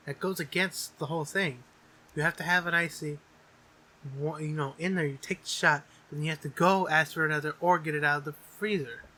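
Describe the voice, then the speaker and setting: Man, slightly high pitch, intensely bright edgy voice, podcast co-host, jokey insistent banter, swears casually. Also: steady tone, brisk, rough recording. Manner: profane, jokey, insistent riffing.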